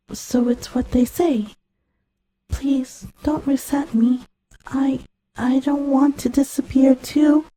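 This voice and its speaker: A woman playing a very soft, lonely machine child. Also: sits high, low-quality recording. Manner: slow, halting, pleading. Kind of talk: scripted audio drama monologue.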